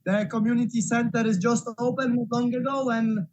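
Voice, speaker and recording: High-voiced man from Poland, halting. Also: steady tone, a little mellow, dull audio, a little hiss or hum. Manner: hesitant, many fillers. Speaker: community center worker. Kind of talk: casual remarks in an online meeting. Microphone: video call.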